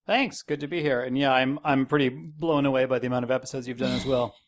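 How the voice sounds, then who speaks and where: A man's voice: medium pitch, quick pace. A man talking casually as a guest in a podcast interview. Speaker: podcast guest, radio producer.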